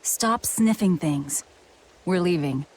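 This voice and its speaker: A woman's voice: on the low side, expressive, slow, a little mellow, slight background noise. An actress playing a cold, hardened young woman fighter in a game, blunt and terse. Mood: curt.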